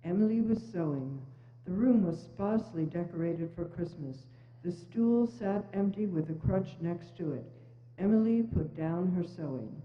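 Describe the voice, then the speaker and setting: A woman's voice: on the low side, animated, normal speed. A woman narrating a story in a scripted dramatic reading. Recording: echoey church hall, dull sound.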